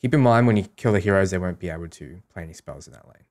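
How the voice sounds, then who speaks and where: A man's voice: deep voice, very fast, darkish tone. A man giving casual, explanatory commentary on a game, talking through his strategy as a streamer.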